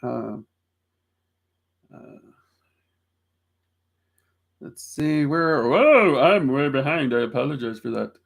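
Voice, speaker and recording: Southern guy rambling on his livestream, gravelly voice, lots of ums, pokes fun at himself. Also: mid-range voice, expressive, slow, long pauses. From Southern United States. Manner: rambling, self-deprecating, frequent ums. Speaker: livestream host answering viewer questions. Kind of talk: casual livestream chat.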